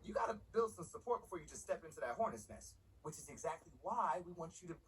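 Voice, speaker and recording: A man playing an excitable, scheming young friend who pitches grand plans theatrically in a video game cutscene. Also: fast, recorded from a distance, slight background noise, low-quality recording. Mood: eager. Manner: theatrical, excitable, stammering into emphasis.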